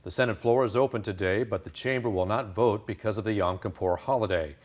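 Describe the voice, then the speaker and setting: A man's voice: on the low side, a little fast. An American man reading scripted news as an anchor.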